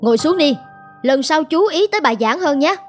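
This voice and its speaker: A strict middle-aged schoolmistress from a cartoon. She has a high voice and an authoritative, scolding manner. Soft music sits underneath. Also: animated. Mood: stern, scolding. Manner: brisk, authoritative, admonishing. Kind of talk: animated cartoon dubbing in Vietnamese.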